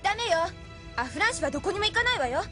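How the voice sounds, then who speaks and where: A woman voicing a protective, insistent young girlfriend in an audio drama, shrill in manner. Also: big pitch swings, very fast, over music. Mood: indignant, protective. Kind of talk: Japanese audio drama acting.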